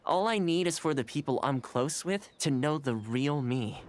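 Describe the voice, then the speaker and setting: Composed young housekeeper with lively pitch, acted by a man. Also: normal speed, close to an everyday voice. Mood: composed, easygoing. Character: calm, shrewd young male housekeeper of a samurai clan. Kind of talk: video game voice acting.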